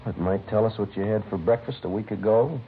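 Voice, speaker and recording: A man playing a methodical American police sergeant with a very low voice, matter-of-fact and understated. He speaks in the terse procedural manner of an old radio crime drama. The sound is thin and band-limited, with clear vintage noise. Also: a little fast, narrow, tinny audio, lightly acted. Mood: businesslike.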